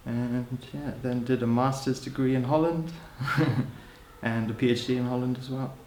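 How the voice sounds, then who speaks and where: A man in his thirties who grew up in Hong Kong, speaking as an interviewee in a thoughtful, musing way, often adding "you know" and soft laughs. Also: mid-range voice, steady tone, moderate pace, a little hiss or hum. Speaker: interviewee, social anthropologist. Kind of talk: reflective personal account. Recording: roomy echo.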